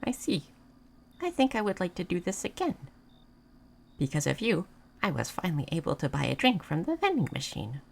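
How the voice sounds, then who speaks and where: A woman playing a gentle, naive moth person in a roleplay audio, speaking in halting, simple, formal phrases. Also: lowish voice, huge pitch swings, gravelly, heavily acted. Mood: soft wonder. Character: gentle, naive, curious moth person learning human things. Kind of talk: scripted roleplay audio addressed to the listener.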